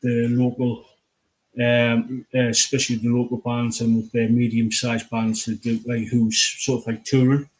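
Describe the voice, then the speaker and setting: A man, interviewing casually as host in a halting, repetitive way. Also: mid-range voice, completely flat delivery, a little mellow, poor audio. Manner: halting, repeats phrases, filler-heavy.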